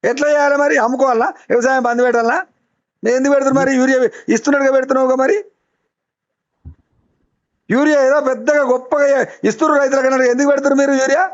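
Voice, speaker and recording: A man's voice: very high-pitched, level tone, very fast, pauses often, no room sound. A man with a Telangana accent making a heated, insistent complaint as a farmer on a phone call, repeating his demands argumentatively. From Telangana, India. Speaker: farmer caller complaining to an official. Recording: dull lo-fi phone call recording.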